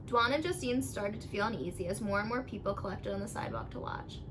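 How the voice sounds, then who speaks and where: A woman reading a children's picture book aloud in an expressive storytelling style. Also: mid-range voice, fast talker. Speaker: storybook reader. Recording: clearly noisy recording with background ambience.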